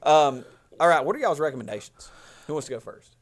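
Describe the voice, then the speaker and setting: A hoarse man, co-hosting a podcast with teasing banter and lively storytelling. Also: a little high, quick pace. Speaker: podcast co-host. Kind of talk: casual banter.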